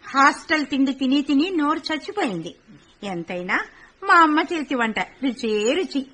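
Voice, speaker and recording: A bossy elder daughter. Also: female voice, high voice, lively intonation, moderate pace, pushed and bright. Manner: bossy big-sister tone. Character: young woman, elder daughter, postgraduate student. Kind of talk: radio drama acting.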